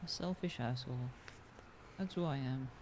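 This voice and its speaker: A woman improvising in-character conversation in an online game, halting as she searches for words. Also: extremely low pitch, a little mellow, a little hiss or hum.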